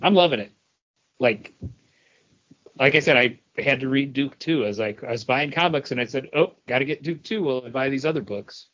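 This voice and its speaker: A man's voice: medium pitch, fairly quick, a bit bright. A man with a mid-range voice talks as a podcast co-host, rambling along with a fan's enthusiasm. The style is casual and conversational, and the recording is slightly muffled and lo-fi. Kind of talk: casual comic book review chat. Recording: dull, lo-fi podcast audio.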